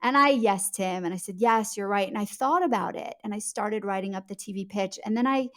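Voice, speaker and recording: A woman's voice: mid-range voice, brisk. A woman speaking as an author and TV producer in a conversational interview, telling stories in a reflective, candid and emphatic way, often repeating words for stress. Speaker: author and TV producer, podcast guest. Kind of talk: conversational interview answers, storytelling.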